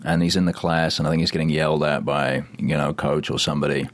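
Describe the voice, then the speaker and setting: Male panel guest, deep voice, casual conversational delivery, animated storytelling with quoted asides. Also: quick pace. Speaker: actor guest on a TV show recap.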